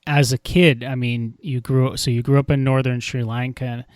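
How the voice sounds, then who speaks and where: A man's voice: medium pitch, little pitch movement, moderate pace, no echo, studio quality. A man hosting a podcast, asking questions in a conversational manner. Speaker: podcast host, interviewer. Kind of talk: casual interview questions.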